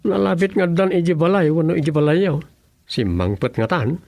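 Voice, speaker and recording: A curious, agreeable Ilocano villager in a radio comedy. His voice is a bit high, conversational and closely recorded with little room sound. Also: fairly quick, creaky, darkish tone, no room sound, faint music behind. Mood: informing. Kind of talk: radio comedy drama acting.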